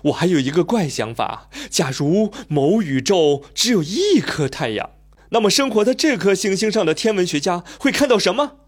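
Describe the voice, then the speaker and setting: A man's voice: high voice, big pitch swings, moderate pace, slightly rough, exaggerated voice. An actor playing a thoughtful, inquisitive young man who questions his elder in a radio drama. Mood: curious.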